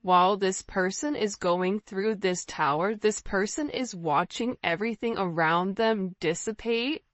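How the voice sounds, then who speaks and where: A woman's voice: medium pitch, a little slow, no room sound, dull audio. A woman giving an informal tarot reading straight to her viewers, speaking emphatically and conversationally with rhetorical questions. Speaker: tarot reader, channel host.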